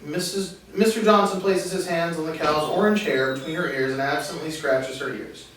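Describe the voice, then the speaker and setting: A man's voice: a little high, normal speed, a bit bright. A man reading stage directions as the narrator of a script table read. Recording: distant mic, echoey room, faint noise.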